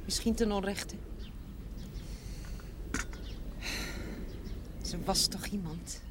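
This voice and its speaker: A woman playing a gentle, candid old family confidante in a television drama, answering briefly and plainly with restraint. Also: mid-range voice, moderate pace, close to an everyday voice. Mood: candid, wistful. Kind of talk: naturalistic 1970s television drama acting. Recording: noisy old TV soundtrack, birdsong behind. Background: birdsong, ambient noise.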